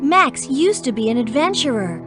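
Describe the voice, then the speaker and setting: A woman voicing a determined, spirited young girl adventurer in a cartoon computer game, brisk and earnest. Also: high-pitched, big pitch swings, over music.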